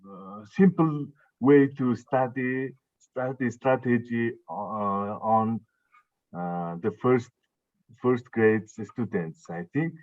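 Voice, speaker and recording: A man's voice: medium pitch, slow pace, dry recording. A man asking questions at a student conference, speaking hesitantly with non-native phrasing and many fillers. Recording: dry tinny phone-like line.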